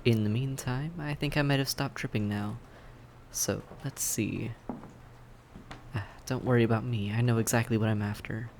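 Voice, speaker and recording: A man playing a suave, polite vampire in an audio roleplay, courteous and measured, with a softly teasing menace. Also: medium pitch, steady tone, a little hiss or hum, natural voice. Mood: polite, cheerful. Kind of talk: scripted audio roleplay acting, addressed to the listener.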